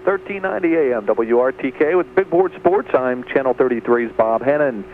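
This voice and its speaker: A man's voice: medium pitch, lively intonation, fairly quick. Male sports reporter on tinny radio. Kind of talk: scripted sports update. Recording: tinny AM radio, faint hum.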